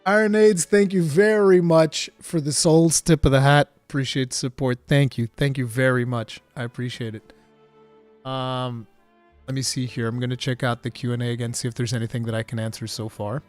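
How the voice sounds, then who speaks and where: A man's voice: mid-range voice, normal speed, soft background music. A Portuguese man with an American-sounding English accent, chatting casually as a streamer and reading viewer questions. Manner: excitable, laughs often, says dude a lot.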